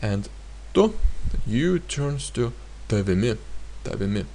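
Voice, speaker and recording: A man teaching a grammar lesson in a hesitant way, pausing often and repeating each example sentence. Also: mid-range voice, very animated, mellow, a little hiss or hum. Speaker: language teacher.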